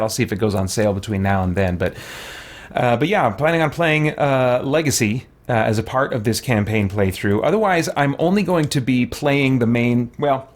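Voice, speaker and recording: Male game streamer, middle-aged, mid-range voice; conversational, meandering let's play narration, thinks aloud. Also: mid-range voice. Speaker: gaming YouTuber and streamer. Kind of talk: casual let's play commentary.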